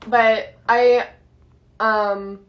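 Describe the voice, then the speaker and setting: A young woman, the host's daughter, chatting casually and telling animated stories full of "like" and "literally.". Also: on the high side, drawn-out words, echoey room, lo-fi sound. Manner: animated storyteller, says "like" and "literally" often. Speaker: co-host, daughter of the host. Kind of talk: casual chat.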